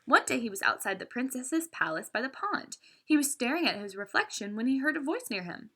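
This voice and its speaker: Animated, high-pitched woman reading a story. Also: fairly quick. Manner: animated, with stumbles and self-corrections. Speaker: read-aloud storyteller. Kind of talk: expressive storybook read-aloud for children.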